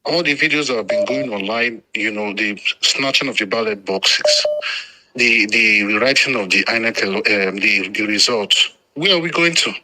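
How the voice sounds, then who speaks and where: A man's voice: fairly low pitch, moderate pace, pushed and bright, low-quality recording. A man from Lagos with a Nigerian accent, calling a radio phone-in, speaking emphatically and spontaneously with repetition and some Pidgin. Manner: agitated, emphatic, repeats himself.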